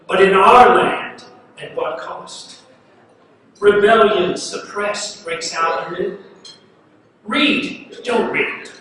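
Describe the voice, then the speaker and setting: A man reciting spoken-word poetry in a declamatory, incantatory manner, leaning on insistent repetition and emphatic pauses. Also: sits high, a bit bright. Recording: very echoey hall, distant mic, faint noise.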